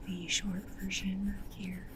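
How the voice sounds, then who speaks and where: Female, breathy whisper, mid pitch. ASMR matchmaker interviewer, gentle and attentive, with clear ambient noise and writing sounds behind. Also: level tone. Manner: gentle, unhurried, attentive questioning. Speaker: ASMR roleplay performer. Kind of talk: soft-spoken whispered ASMR roleplay interview. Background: sci-fi ambience, writing sounds.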